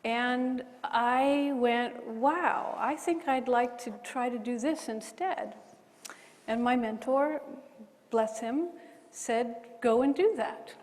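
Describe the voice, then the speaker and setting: High-voiced woman in a reverberant hall. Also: slow, darkish tone. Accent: mixed American and British. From Hawaii. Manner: candid, self-deprecating, with frequent restarts. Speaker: bioethicist panelist. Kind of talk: personal story on a forum panel. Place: large lecture hall.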